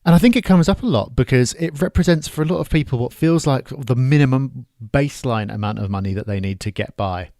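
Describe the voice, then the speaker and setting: A man's voice: mid-range voice, a little fast, hardly any pauses, warm tone, no room sound, studio quality. A man co-hosting a podcast, explaining points in a matter-of-fact way. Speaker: podcast co-host. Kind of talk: podcast commentary.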